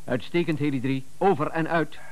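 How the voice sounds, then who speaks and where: Actor as formal, higher-pitched police dispatcher. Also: male voice, normal speed, slight background noise, natural voice, low-quality recording. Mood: official. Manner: clipped, formal, procedural. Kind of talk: Dutch radio drama, dispatcher messages.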